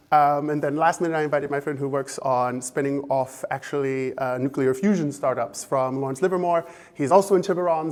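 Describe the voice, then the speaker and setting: An American man with a slight German-influenced accent, giving an impromptu talk to an audience, halting, with many fillers and restarts. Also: mid-range voice. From United States. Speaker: speaker at a fellowship event.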